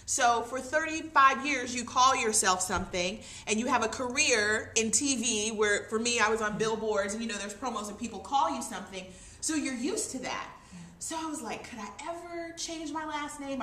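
A woman in her forties hosting a talk show in a gallery shop, lively and expressive. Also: fairly high pitch.

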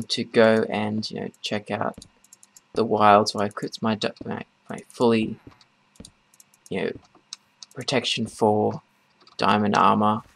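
Creaky-voiced man rambling over gameplay. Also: on the low side. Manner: rambling, halting delivery. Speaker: gaming video host. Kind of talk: casual gameplay commentary. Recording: noisy, keyboard and mouse clicks.

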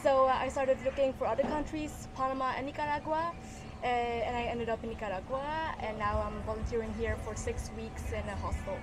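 A woman's voice: on the high side, fairly even intonation, a little fast, music in the background, poor audio. A young woman in her twenties from Belgium, chatting in a friendly, slightly hesitant way in a street interview outdoors. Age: 20s. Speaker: interviewee, traveler. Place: outdoor shop arcade on a beach town street. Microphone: handheld camera close up. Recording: loud street and traffic noise.

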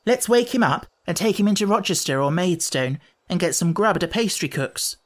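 A woman's voice: lowish voice, expressive, moderate pace, pitched above the performer's own voice, lightly acted. A woman reading a children's storybook, voicing a blunt, practical schoolboy brother with old-fashioned slang. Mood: hopeful.

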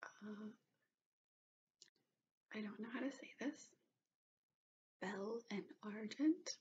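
A woman in her twenties doing gentle, soothing ASMR in a soft, breathy whisper. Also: mid-range voice, slow. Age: 20s. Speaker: ASMR host. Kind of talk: whispered ASMR personal-attention roleplay. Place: small bedroom, indoors. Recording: dull lo-fi webcam-style sound.

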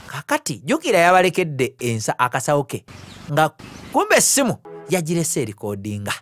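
Higher-pitched Ugandan man narrating a film. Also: huge pitch swings, fairly quick, a bit bright, faint music behind. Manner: colloquial, acts out the characters' lines. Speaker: film narrator and live translator (VJ). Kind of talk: voice-over translation and commentary of a film.

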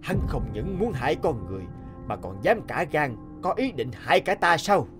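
A man voicing a calm, stern old God in a cartoon, speaking in a measured, authoritative way. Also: on the high side, faint music behind. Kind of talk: cartoon voice acting.

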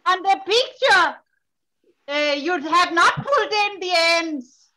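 Woman student on a video call, very high bright voice, unsure questions. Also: expressive, takes it slowly, rough recording. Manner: hesitant, polite questions. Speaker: course participant. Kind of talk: asking questions in an online class.

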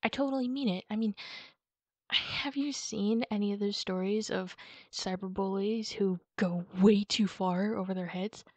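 A woman speaking casually and haltingly in a comforting ASMR roleplay, as a reassuring friend talking to the listener. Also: medium pitch, fairly even intonation, a little mellow, dry recording. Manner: reassuring, informal, halting with pauses. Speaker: ASMR roleplay performer playing a supportive friend.

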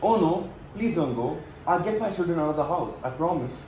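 A henpecked, doting father, played by a young man, talks earnestly in a fairly high voice. He is placating and meek, recorded in an echoey room for an amateur comedy. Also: expressive, fairly quick, darkish tone. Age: young adult. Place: indoor home living room. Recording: echoey room with faint noise.

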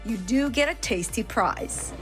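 A woman reporter narrating a scripted news story in a measured way. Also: fairly high pitch, expressive, over music.

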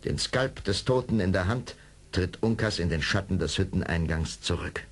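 A man narrating a radio play as a dramatic storyteller, with a husky voice. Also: low voice, animated, normal speed.

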